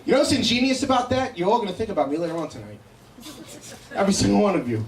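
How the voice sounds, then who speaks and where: High-pitched New York comic, echoey and distant. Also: male voice, very animated, very fast. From Brooklyn, New York, United States. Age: middle-aged. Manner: brash, profane, conversational. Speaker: stand-up comedian. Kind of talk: live stand-up comedy with crowd banter. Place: indoor comedy show in a cafe. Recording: echoey live room, miked from a distance.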